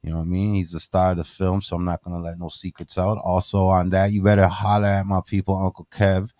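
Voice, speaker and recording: A man hosting a podcast, hyped and animated, talking straight to his audience. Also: sits low, quick pace, dry recording, studio quality. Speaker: podcast host. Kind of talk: casual chat. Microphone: studio mic.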